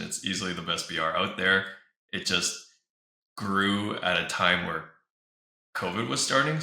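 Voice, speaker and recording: A man's voice: low voice, sharp tone. A man giving casual interview answers as an esports organizer, recorded in a room with some echo. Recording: roomy echo.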